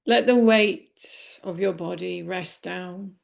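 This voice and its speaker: A woman leading a guided body scan meditation in a gentle, unhurried manner with long pauses. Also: lowish voice, vocal fry. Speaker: meditation teacher.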